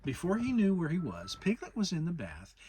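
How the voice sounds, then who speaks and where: A woman's voice: fairly low pitch, huge pitch swings, a little fast, dark tone, a little hiss or hum. A woman narrating a children's audiobook as a dramatic reading. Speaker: storybook narrator.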